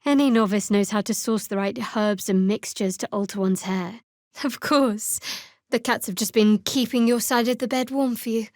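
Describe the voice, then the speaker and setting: An actress playing a guarded, wry young half-elf cleric in a fantasy video game, speaking in a measured, dry, slightly haughty way. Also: female voice, fairly high pitch, steady tone, no room sound, close to an everyday voice. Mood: dry, composed. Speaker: video game character voice actor. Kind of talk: scripted fantasy game dialogue.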